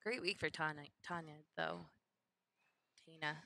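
A woman's voice: low voice, unhurried, sharp tone, a little hiss or hum. A woman doing live bowling commentary on a headset, chatty and giggly, often drifting off topic. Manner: chatty, giggly, easily sidetracked. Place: commentary booth at a bowling center.

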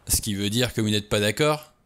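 A man's voice: fairly low pitch, brisk, fairly bright tone, smooth, lightly acted. A man reading an audiobook, voicing a stubborn, suspicious middle-aged man who talks bluntly. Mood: gruff.

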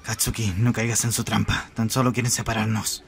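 A man voicing a serious, restrained teenage boy anime hero with a measured, earnest manner. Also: on the low side, little pitch movement, soft background music, voice pushed down, lightly acted. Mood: stern.

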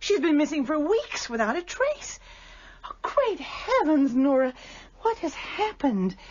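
An actress playing a haughty, gracious widowed baroness in a radio drama, with formal, emphatic, theatrical speech and a husky voice. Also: female voice, sits high, very animated, a little slow, background noise. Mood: shocked, dramatic. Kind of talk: British radio drama acting. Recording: muffled radio broadcast.

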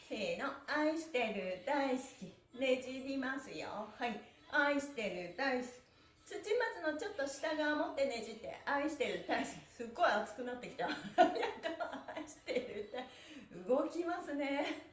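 A middle-aged woman leading a stretching class with energetic, encouraging cues, often in a rhythmic chant. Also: high voice. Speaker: stretching instructor and livestream host. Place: small bare-walled indoor room. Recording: echoey room.

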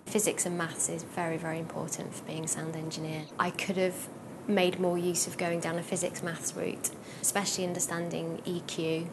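British woman, lower-pitched, over noisy rumble. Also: level tone, normal speed. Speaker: sound engineer and sound designer. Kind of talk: informal interview about own job.

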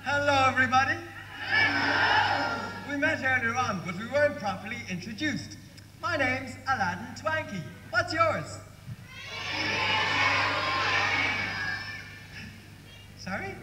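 A man's voice: very high voice, animated, moderate pace. A young man playing an eager, cheerful pantomime hero, calling out to children from the stage. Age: young adult. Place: theatre stage, live performance. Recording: echoey noisy theatre hall.

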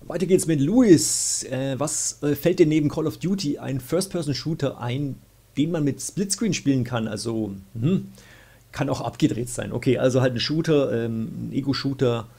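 A man's voice: medium pitch, dark tone, breathy edge. A young man hosting a weekly game show, casually answering viewer questions and thinking aloud with plenty of fillers. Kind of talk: casual chat. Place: small room indoors, at a desk.

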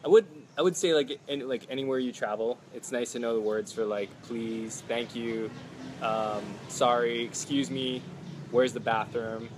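A man in his thirties talks into a phone held close, with an upbeat, animated way of telling stories. His voice sits at an ordinary pitch. Faint outdoor noise is heard behind him. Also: moderate pace. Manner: upbeat, animated storyteller, many "like"s. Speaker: travel vlogger. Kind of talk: casual live chat. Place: outdoors at a home stay patio.

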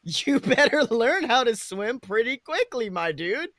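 A man's voice: very high-pitched, very animated, unhurried, a bit bright, no room sound, studio quality. A man co-hosting a podcast in casual comedic chat, joking with lots of slang and swearing. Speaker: podcast co-host.

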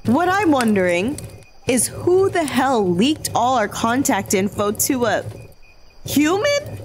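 Casting: excitable female demon, blunt, curious, high voice, unhurried, for audio fiction. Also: full character voice. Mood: indignant. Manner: animated, blunt, casual. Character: excitable, hot-tempered, curious demon woman, bound in slavery. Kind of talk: audio fiction podcast acting.

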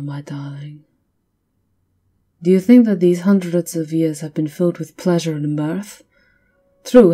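Woman acting as ancient vampire countess, very dark breathy voice, slow, haughty, possessive, ASMR roleplay, music behind. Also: deep voice. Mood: cold, proud. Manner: imperious, slow, teasing, often dropping to breathy whispers.

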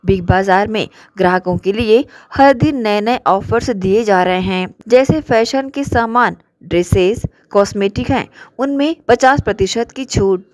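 A woman's voice: mid-range voice, a little slow, hardly any pauses, a little mellow, dry recording, rough recording. A woman reporter reading a scripted local news voice-over in a formal, promotional manner.

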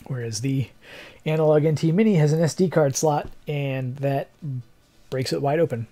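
A young adult man who streams games, chatting casually in a rambling, technical way, with a slightly hoarse voice. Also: fairly high pitch, moderate pace, mellow. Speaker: game streamer. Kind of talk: casual livestream chat. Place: living room, seated in armchair indoors.

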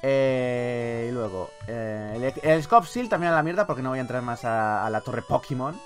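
A man from Spain with a Spanish accent giving casual, expressive, sweary let's-play commentary on a video game. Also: medium pitch, normal speed, faint music behind. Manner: expressive, colloquial, swears freely. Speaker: gaming YouTuber, host.

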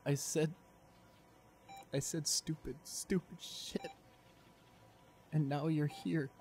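A man playing a distraught, tender young boyfriend in an intimate audio roleplay, speaking in halting, broken phrases. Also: a little high, fairly even intonation, a little mellow, a little hiss or hum. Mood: grieving, guilty.

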